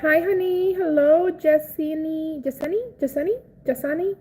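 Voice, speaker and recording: A young woman from Cuba, raised in Florida, chatting casually on a live stream, greeting viewers by name and reacting excitedly. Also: high voice, moderate pace, slight background noise, low-quality recording. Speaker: livestream host.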